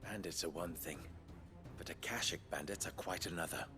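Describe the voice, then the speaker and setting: An actor playing an urgent, commanding and honorable town leader in a video game, speaking formally and earnestly. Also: male voice, sits low, moderate pace, close to an everyday voice. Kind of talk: dramatic video game acting. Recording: loud music behind.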